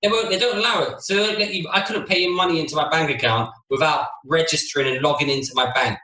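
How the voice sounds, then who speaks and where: A man with a fairly high, sharp, forceful voice chats as a podcast co-host. He sounds earnest and insistent, arguing his case casually, with plenty of room echo around him. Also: quick pace. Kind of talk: casual argumentative chat. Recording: roomy, echoey room sound.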